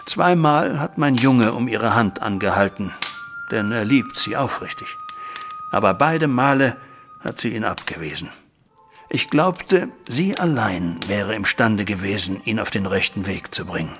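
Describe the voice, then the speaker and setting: Gravelly, troubled widowed banker. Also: male voice, on the low side, expressive. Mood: melancholy. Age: middle-aged. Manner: formal, deliberate storytelling. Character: troubled widowed banker, worried disappointed father. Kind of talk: German audio drama acting, recounting events. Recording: music bed behind voice.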